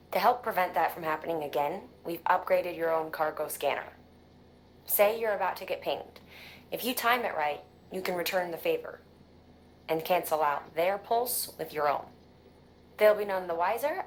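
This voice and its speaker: A low-voiced actress as a composed female scientist briefs a courier in a video game. She explains things conversationally. Her words carry a long reverb over faint noise. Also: normal speed, natural voice. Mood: instructive.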